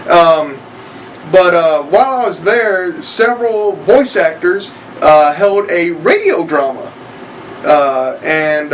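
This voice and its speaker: Slightly distant and a little noisy, a young man chats to his viewers. His voice is on the high side, and he rambles casually with many hesitations. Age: young adult. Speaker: online reviewer and aspiring voice actor. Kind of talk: casual vlog introduction. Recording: distant mic with background noise.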